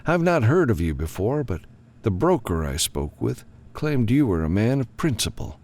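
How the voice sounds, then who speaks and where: A man's voice: lowish voice, sing-song, normal speed, a little mellow, pitched above the performer's own voice. A man voicing a formal, self-assured government minister in an audiobook, polished and officious. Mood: courteous. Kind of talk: audiobook character dialogue.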